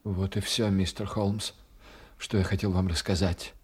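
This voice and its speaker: A man's voice: low-pitched, little pitch movement, unhurried, husky, faint music behind. A male actor playing an earnest, anxious young man in a radio play, hesitant and polite. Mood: pleading. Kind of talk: Russian radio play acting.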